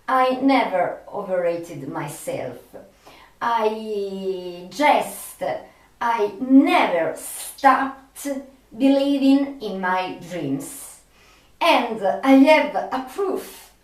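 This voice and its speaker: Slow, halting woman in an echoey room. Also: mid-range voice. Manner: halting, with long searching pauses. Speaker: video creator and film fan. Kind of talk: unscripted personal monologue to viewers. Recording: echoey room, distant microphone.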